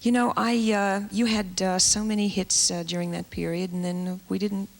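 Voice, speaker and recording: A woman from Australia with a low alto voice, hosting a TV music show and chatting eagerly with a guest, full of fillers and restarts. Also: flat delivery, moderate pace, gravelly. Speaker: host. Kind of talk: casual on-stage chat with a guest. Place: TV studio stage. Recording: 1970s TV broadcast with loud band music. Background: loud band music.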